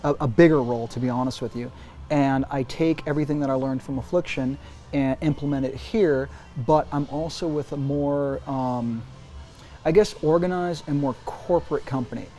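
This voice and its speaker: A man in his forties giving casual interview answers, emphatic and animated, often restarting his sentences. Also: mid-range voice, normal speed. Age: 40s. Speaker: interview guest, brand executive.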